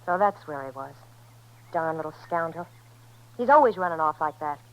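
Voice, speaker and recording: A woman's voice: low voice, animated, normal speed, rough recording. A woman playing a young, hard-edged, world-weary ranch wife in a 1950s radio Western, folksy and evasive. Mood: evasive, irritated. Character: young, restless, hard-edged ranch wife, former dance-hall girl.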